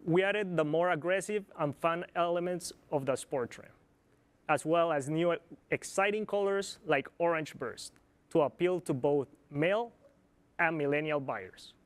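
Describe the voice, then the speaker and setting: A man from Colombia with a Colombian Spanish accent, a product planner giving a measured, scripted corporate presentation. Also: high voice. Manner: measured, informative, occasional slips.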